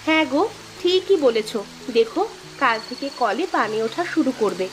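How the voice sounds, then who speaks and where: A woman voicing a worried, sharp-tongued village housewife in a moral-tale cartoon. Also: sits high, very animated, normal speed, background noise. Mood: concerned.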